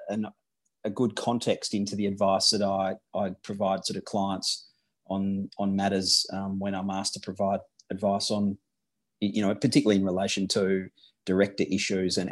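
Halting Australian lawyer, low voice. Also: male voice, breathy edge. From Western Australia. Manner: halting, frequent restarts and fillers. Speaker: guest, corporate lawyer. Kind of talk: podcast interview.

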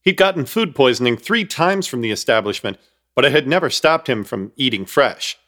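A man's voice: medium pitch, lively intonation, normal speed, fairly bright tone. A man narrating a comic horror radio play in an ornate, mock-grave, wry storytelling manner.

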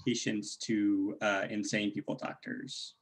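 A man's voice: on the low side, little pitch movement, a little slow. A man playing a polite but callous husband with a hesitant, apologetic, matter-of-fact manner in improvised comedy. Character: polite, callous husband touring insane asylums.

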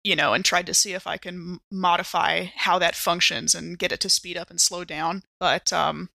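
A woman playing a tabletop role-playing game, casually improvising descriptions and haltingly thinking aloud. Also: on the low side, steady tone, vocal fry, bright, forceful tone, no room sound.

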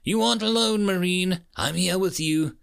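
A man's voice: high voice, moderate pace, vocal fry, a bit bright, clear, resonant tone, pitched above the performer's own voice. A man voicing an injured, dazed insect-like alien soldier in a story, loyal and urgent, in clipped, strained phrases. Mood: urgent, supportive.

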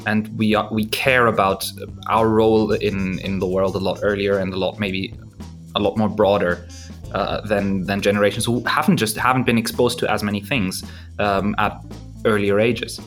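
Young man, low voice. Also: flat delivery, normal speed, fairly bright tone, faint music behind. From Germany. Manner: thoughtful, with frequent restarts. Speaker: student guest.

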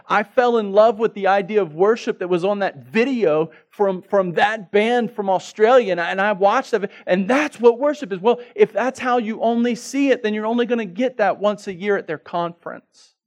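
A man's voice: high-pitched, moderate pace, few pauses. A middle-aged male pastor preaching a sermon in a conversational, storytelling way, repeating words as he restarts and rising to emphatic peaks.

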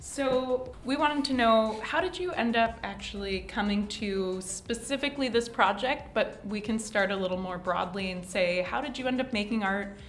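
A woman hosting a podcast, asking questions in a relaxed, chatty way with frequent "like" fillers. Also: a little high, normal speed, hardly any pauses, a little hiss or hum. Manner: friendly, chatty, with many "like" fillers. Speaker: podcast host. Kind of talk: casual podcast chat. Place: studio space indoors.

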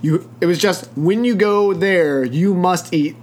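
A woman's voice: on the low side, measured pace, darkish tone, slight background noise. A woman hosting a podcast, chatting casually and tossing in teasing jokes. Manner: joking, teasing quips. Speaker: podcast host. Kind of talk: casual conversational interview.

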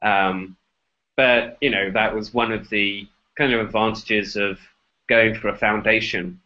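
A man's voice: lowish voice, steady tone, unhurried, sharp tone. A man co-hosting a casual tech podcast while recovering from an illness, speaking hesitantly with many fillers. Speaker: podcast co-host. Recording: dull lo-fi video call audio.

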